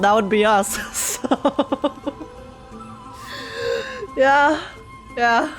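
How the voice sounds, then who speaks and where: Woman, mid-range voice, casual game commentary, slangy, joking, sweary, light background music. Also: medium pitch. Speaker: streamer reacting to a game. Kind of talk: casual live commentary, reading dialogue aloud.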